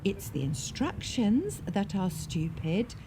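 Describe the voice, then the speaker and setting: An actress playing an anxious, frustrated support worker in a radio drama, earnestly questioning herself. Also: female voice, mid-range voice, big pitch swings, slow pace, a crowd in the background. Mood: flustered, exasperated. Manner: earnest, agitated, self-questioning. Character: anxious, frustrated woman who ran a domestic abuse service. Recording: clear background ambient noise.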